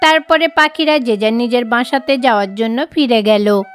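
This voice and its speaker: A woman's voice: a little high, moderate pace. A woman reading scripted narration for a children's story, with music behind her.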